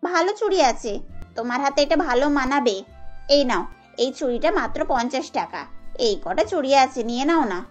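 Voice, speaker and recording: A weary female bangle vendor performed by a woman in a cartoon tale. Her high voice coaxes and hawks, with light music behind. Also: expressive, a little fast. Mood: coaxing, tired. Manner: coaxing, calling out wares. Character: weary female street bangle seller, coaxing customers.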